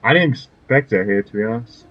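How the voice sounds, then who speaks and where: A man's voice: medium pitch, animated, brisk, highs cut off. A man giving casual commentary while playing a video game, sometimes reading dialogue choices aloud. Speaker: gamer playing through a game. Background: game music.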